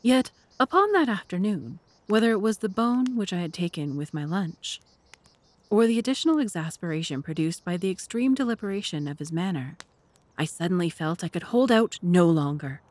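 Low-pitched woman narrating. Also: animated, normal speed, no echo. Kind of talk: scripted literary narration for an audio drama.

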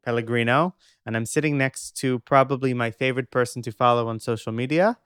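A young man hosting a podcast, giving a measured, reflective narration and then interviewing a guest. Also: mid-range voice, fairly bright tone, clear, resonant tone, no echo, studio quality. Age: young adult. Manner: reflective, measured delivery with dramatic pauses. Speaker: podcast host.

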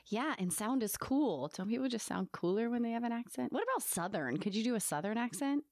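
A woman's voice: on the high side, animated, a little fast, darkish tone, dry recording, studio quality. A woman co-hosting a podcast in casual banter, with a playful, teasing and encouraging way of talking. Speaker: podcast co-host.

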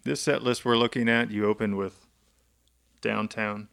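A man's voice: fairly low pitch, steady tone, normal speed, vocal fry, a bit bright, clean tone. A man interviewing a guest in a casual, conversational style.

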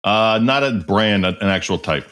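A man hosting a podcast, asking questions and running a quick quiz game in a loose, repetitive way. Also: fairly low pitch, lively intonation, a bit bright. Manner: loose, repeats himself, many fillers. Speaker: podcast host.

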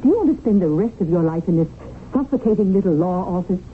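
An actress playing a poised, scheming, seductive widow in a 1970s radio drama, with a self-assured, insistent manner. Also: female voice, lowish voice, lively intonation, quick pace, lightly acted. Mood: seductive, urging. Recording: tinny, noisy old radio broadcast.

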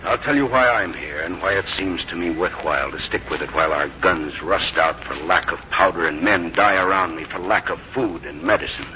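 An actor playing a grave, resolute army commander, speaking in an earnest, oratorical way in 1950s radio drama style. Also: male voice, low voice, fairly quick, hardly any pauses, pushed and bright. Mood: impassioned, grave. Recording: muffled, noisy 1950s radio recording.

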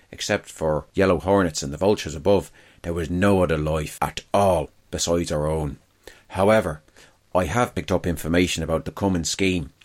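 A man reading a first-person wartime diary aloud as scripted narration. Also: low-pitched.

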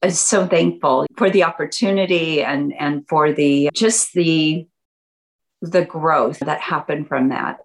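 A woman in her fifties, a guest chatting casually in an interview, animated and acting out what her friends said. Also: slow pace. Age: 50s. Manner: animated, acts out quoted friends in a higher voice. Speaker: guest entrepreneur.

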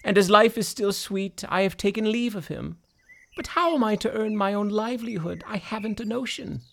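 A man's voice: high voice, moderate pace, vocal fry, slight background noise, pitched above the performer's own voice. A man voicing a weary, plaintive old male greyhound in a storybook tale.

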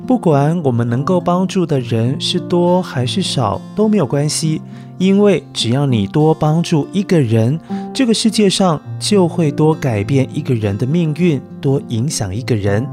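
Children's podcast host, male, Taiwanese Mandarin accent, slightly high pitch, gentle explanatory storytelling, music underneath. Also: lively intonation, normal speed. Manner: gentle coaxing, simple explanatory talk to children. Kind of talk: storytelling addressed to young children.

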